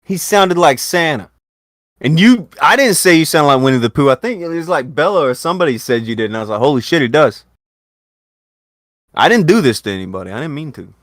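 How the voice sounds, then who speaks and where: Man, podcast host, animated, profane, joking, dry close mic. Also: fairly high pitch, fairly quick, dry recording. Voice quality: lively, swings into loud bursts. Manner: profane, jokey, talks with laughs. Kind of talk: casual chat.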